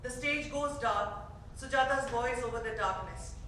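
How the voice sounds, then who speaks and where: Bright-voiced woman narrating in echoey hall. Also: a little high, normal speed, slight background noise. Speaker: stage directions reader. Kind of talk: scripted narration at a staged reading. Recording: echoey theater, distant mic.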